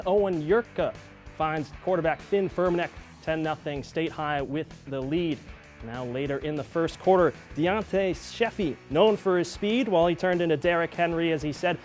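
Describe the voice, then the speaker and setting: A man working as a sports anchor, narrating game highlights from a script with an emphatic play-by-play delivery.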